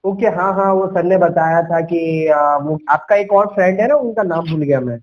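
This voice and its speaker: Young man, high-pitched voice, persuasive phone sales coach who mixes in English words, on a muffled low-fidelity recording. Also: moderate pace. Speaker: sales coach making a sales call. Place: at home. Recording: dull lo-fi call recording.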